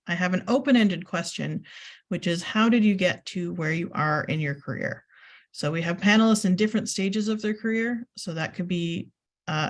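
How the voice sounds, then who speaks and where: A woman moderating a career panel, with upbeat spoken acknowledgements as she hands over to each guest. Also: lowish voice.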